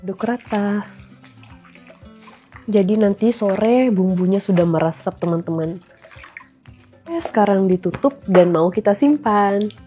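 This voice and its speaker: A woman's voice: mid-range voice, a little slow, long pauses, dark tone, faint music behind. Nasal-voiced woman with a cold. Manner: chatty, addresses viewers often. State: recovering from flu. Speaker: vlogger and mother. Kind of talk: casual cooking vlog.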